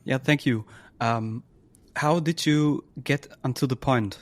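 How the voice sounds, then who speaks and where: A man's voice: medium pitch, takes it slowly, no room sound. A man hosting a podcast, reading the intro and asking curious, plain questions. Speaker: podcast host.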